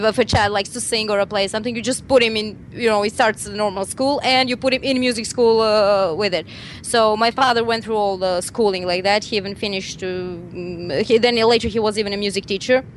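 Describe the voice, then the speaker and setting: Serbian woman on a skype call, lots of uh and restarts, little bit of hiss behind, middle pitch voice. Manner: hesitant, many fillers and restarts. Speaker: band singer and composer. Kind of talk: video call interview. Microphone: video call.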